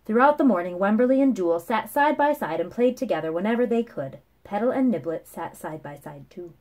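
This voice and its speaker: A woman in her thirties reading a picture book aloud to children with expressive, dramatic phrasing. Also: mid-range voice, fairly quick. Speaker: children's story reader. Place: small room at home, indoors.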